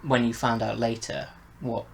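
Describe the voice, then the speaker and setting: A man with a mid-range voice hosts a relaxed, conversational review. There is slight noise in the recording as he talks through his points casually. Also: mid-range voice, normal speed, creaky. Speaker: podcast review host.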